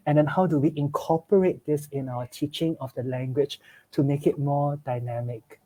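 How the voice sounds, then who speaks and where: Singaporean male teacher, earnest, explanatory, slightly high and mellow voice. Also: steady tone, moderate pace. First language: English is first language. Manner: earnest, explanatory, checks in with listeners. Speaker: teacher sharing with fellow teachers. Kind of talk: online discussion among teachers.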